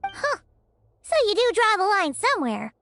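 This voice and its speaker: A woman voicing a tiny, childlike, excitable and easily indignant companion girl in an animated video game. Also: extremely high pitch, moderate pace, dry recording, soft background music, exaggerated voice. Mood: surprised. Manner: refers to self in third person, exclaims.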